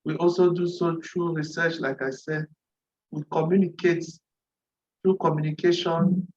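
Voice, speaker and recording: Male nonprofit rep from Cameroon, voice sits a bit high, methodical and repetitive delivery. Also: steady tone, lo-fi sound, a little hiss or hum. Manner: deliberate, repeats phrases, occasional throat clearing. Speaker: nonprofit representative at a virtual dialogue. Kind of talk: formal presentation. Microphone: video call.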